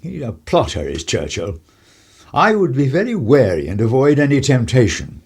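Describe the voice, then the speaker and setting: Actor as an animated, husky English politician. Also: male voice, mid-range voice, moderate pace. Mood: wary, amused. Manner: wry, clubbable, old-fashioned upper-class phrasing. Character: urbane, worldly 1930s English male politician, wry and wary. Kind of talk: radio play acting.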